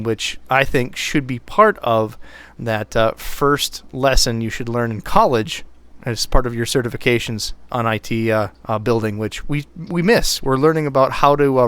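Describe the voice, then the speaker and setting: A man co-hosting a podcast about IT work. His voice sits low, though he lifts it toward a brighter tone on purpose. He speaks in a deliberate way with frequent fillers, and the recording is dry and close. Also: smooth, no echo. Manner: deliberate, with many fillers and restarts. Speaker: podcast co-host, IT technician. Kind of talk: casual podcast talk. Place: Minnesota, US.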